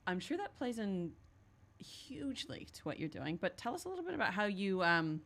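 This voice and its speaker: A woman's voice: mid-range voice, sing-song, moderate pace, creaky, a bit bright. A woman hosting a podcast, asking questions in a playful, enthusiastic way and backing up her guest with constant yeahs. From not from New Zealand. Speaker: podcast host. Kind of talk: casual interview chat.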